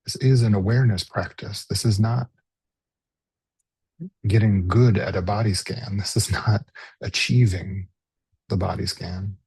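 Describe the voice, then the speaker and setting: A man with a soft, breathy, husky voice leads a guided body scan meditation as a teacher, gently and unhurriedly, pausing between short phrases. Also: lowish voice, steady tone, no room sound, low-quality recording.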